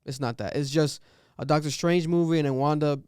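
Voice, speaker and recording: Podcast co-host, male, fairly high voice, smooth tone; loose, joking, animated talk. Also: no room sound, studio quality. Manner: animated, laughing, quick asides. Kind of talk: casual podcast chat.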